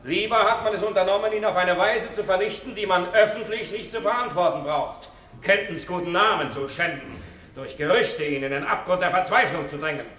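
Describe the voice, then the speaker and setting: Righteous witness, 1950s radio courtroom play: precise, formal, slightly high voice, roomy sound. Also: male voice, fairly quick, sharp tone. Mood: indignant. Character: righteous, rational male witness, firm champion of reason. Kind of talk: 1950s German radio drama acting, courtroom testimony. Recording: echoey old radio studio recording.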